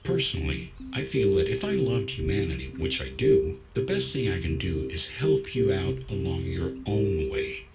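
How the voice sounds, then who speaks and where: A man playing a calm, self-assured android who reasons in a measured, nonchalant, gently wry way in a science fiction audio story. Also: low-pitched. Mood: gentle, explaining. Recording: music behind the voice.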